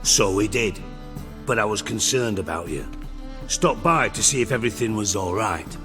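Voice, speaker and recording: An actor playing a cynical middle-aged spymaster in a video game, with a British accent and a mockingly polite, wry, profane way of talking. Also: male voice, lowish voice, animated, normal speed, big-room sound, music in the background, lightly acted. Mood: wry, probing. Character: cynical, shrewd, sarcastic male underworld spymaster, middle-aged.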